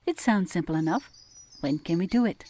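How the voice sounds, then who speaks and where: A woman playing a supportive, hopeful wife in an audio drama, earnest and gently persuasive. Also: lowish voice, animated, a little fast. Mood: willing. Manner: reassuring, earnest.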